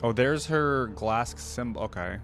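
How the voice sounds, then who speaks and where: A man's voice: mid-range voice, animated, unhurried, a bit bright, faint music behind. A man giving casual reaction commentary as the host of a video.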